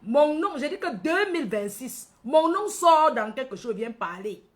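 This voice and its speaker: A woman's voice: sits high, sing-song, unhurried. A woman from Cameroon with a Cameroonian accent hosting a casual livestream, addressing followers by name, repeating phrases and tagging on questions. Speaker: livestream host.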